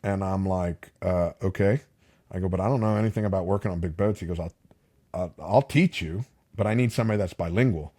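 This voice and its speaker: Relaxed middle-aged man, lowish voice. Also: a little fast. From Palm Beach County, Florida. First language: Spanish. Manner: relaxed, chatty, lots of fillers and restarts. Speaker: podcast guest, fishing captain. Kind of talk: casual interview storytelling.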